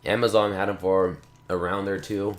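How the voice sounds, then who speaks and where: A man hosting a casual tech video, rambling in a relaxed way and repeating himself, with a slight cough. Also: low voice, normal speed. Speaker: tech channel host. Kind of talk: casual unboxing talk to camera. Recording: echoey room.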